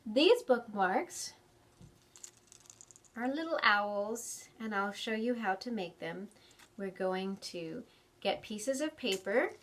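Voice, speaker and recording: A woman's voice: medium pitch, lively intonation, slow, long pauses. A woman hosting a children's storytime, reading picture books expressively and chatting to young children with questions. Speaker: children's librarian storytime host. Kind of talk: animated picture-book reading and chat for preschoolers.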